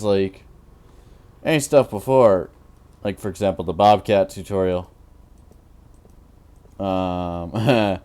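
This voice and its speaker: Young man, deep smooth voice, tired, rambling, hesitant, lots of fillers. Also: darkish tone. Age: 20s. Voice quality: naturally deep voice. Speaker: Minecraft YouTuber. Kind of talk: rambling gameplay commentary.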